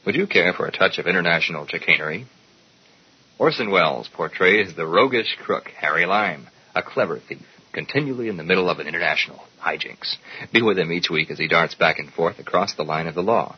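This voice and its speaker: A man's voice: low voice, animated, fairly quick, husky. A man announcer reading a dramatic, scripted radio programme promo with dull, lo-fi sound.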